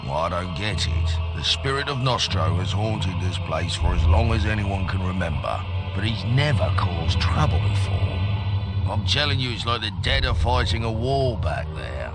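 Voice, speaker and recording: Low, lively plain-spoken soldier. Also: male voice, normal speed. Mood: baffled, worried. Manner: colloquial, emphatic. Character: puzzled, plain-spoken male regiment guard, sturdy soldier. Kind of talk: video game cutscene acting. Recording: loud music behind.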